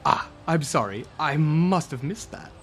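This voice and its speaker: A man's voice: on the high side, expressive, unhurried, a bit bright, a little hiss or hum. A man playing a wry, wary young adventurer with casual, teasing talk in a tabletop radio play.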